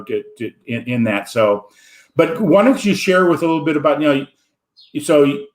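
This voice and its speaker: A man's voice: mid-range voice, roomy sound. An American man hosting an online interview show, talking in a rambling way with many fillers. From United States. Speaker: host. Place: home in Wisconsin.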